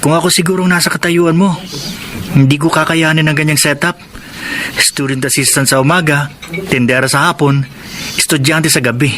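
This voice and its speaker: A man's voice: on the high side, steady tone, moderate pace, pauses often, noisy background, lightly acted. An actor playing a teasing, theatrical rich young college friend in a Filipino radio drama, a man. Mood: admiring. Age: young adult.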